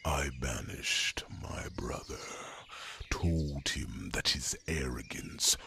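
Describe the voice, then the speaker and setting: A man playing a proud, solemn, fatherly dragon in a whispered ASMR fantasy roleplay, with a gravelly, breathy growl and grandiose delivery. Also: extremely low pitch, pushed and bright, full character voice. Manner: slow, solemn, grandiose storytelling.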